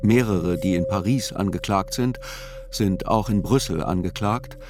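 Sober male writer narrating court report, low voice, precise and measured, faint music under. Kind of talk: scripted literary narration for a radio play.

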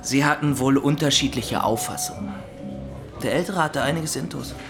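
A mid-pitched, casual male barkeeper, played by an actor in a radio drama, music clearly playing behind him. He is gossipy and obliging. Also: animated, normal speed, natural voice. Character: chatty, obliging male barkeeper who gossips about guests.

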